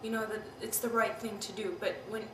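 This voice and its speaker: A woman's voice: mid-range voice, steady tone, fairly quick. A woman in her twenties from Pakistan, giving a candid, reflective interview and often saying "you know" and "I mean". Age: 20s. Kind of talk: personal interview recollection. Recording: roomy, distant mic, background noise.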